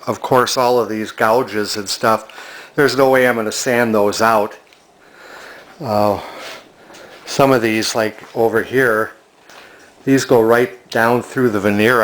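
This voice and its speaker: An older man casually narrating a hands-on project in a halting, plainspoken, matter-of-fact way. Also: mid-range voice, fairly bright tone. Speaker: antique restoration hobbyist demonstrating a refinishing project.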